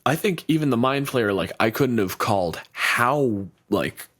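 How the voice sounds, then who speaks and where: A man co-hosting a podcast in casual chat, talking in an animated, rambling way with restarts and swearing freely. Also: mid-range voice, measured pace. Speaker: podcast co-host.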